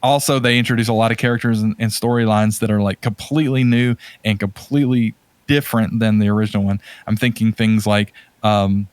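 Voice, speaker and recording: A man's voice: fairly low pitch, clear, resonant tone, no room sound. A man co-hosting a podcast, chatting casually and enthusiastically, rambling as he thinks aloud. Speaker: podcast co-host. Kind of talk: casual chat about video game movies.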